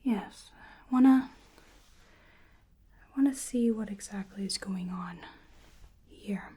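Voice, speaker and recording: A woman whispering softly and breathily in an ASMR roleplay as a polite, curious researcher doing a gentle exam. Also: medium pitch. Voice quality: breathy whisper and soft speech. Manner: gentle, hesitant, polite, unhurried.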